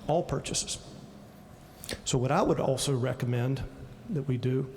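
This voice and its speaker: Delegate guy walking thru a tax bill, steady, lots of ums, echoey hall. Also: mid-range voice, slight background noise. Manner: explanatory, measured, with fillers. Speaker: state delegate. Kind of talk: floor speech. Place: legislative chamber. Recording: long hall reverb, faint ambience.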